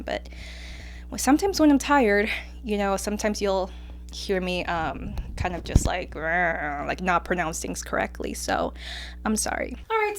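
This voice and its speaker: A woman's voice: mid-range voice, slight background noise. Chatty Mexican-accented woman podcaster. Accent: Mexican Spanish. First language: Spanish. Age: 20s. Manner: chatty, lots of fillers like um and like. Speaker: podcast host. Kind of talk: casual solo podcast chat.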